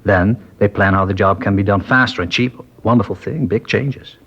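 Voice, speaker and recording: An actor playing a mild, trusting older man who owns a small dress factory, talking in a gentle, hesitant and rambling way in a 1950s radio drama. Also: on the low side, moderate pace, breathy edge. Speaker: witness in a police interview. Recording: muffled vintage radio broadcast.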